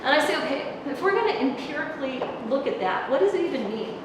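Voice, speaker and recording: A middle-aged woman lectures in a lively, conversational way, projecting her fairly high voice across a conference room with no microphone. She is picked up from a distance, so her voice rings with a long hall reverb over clear background noise. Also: fast talker. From United States. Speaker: university professor giving a talk. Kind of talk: public lecture. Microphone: far camera, no microphone.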